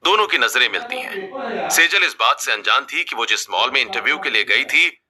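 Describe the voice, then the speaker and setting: A man's voice: medium pitch, animated, brisk, noisy background. A man reading a scripted family story as its narrator. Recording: music bed under the voice. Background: loud background music.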